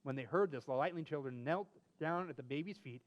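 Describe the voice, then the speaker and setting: A man's voice: on the high side, brisk. A man reading a children's story aloud expressively as a co-host, with playful asides.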